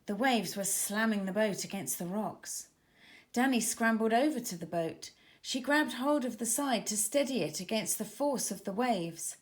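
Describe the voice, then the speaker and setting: Very animated woman reading a children's story. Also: a little high, normal speed. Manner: dramatic, expressive. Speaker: author narrator. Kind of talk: scripted children's story narration.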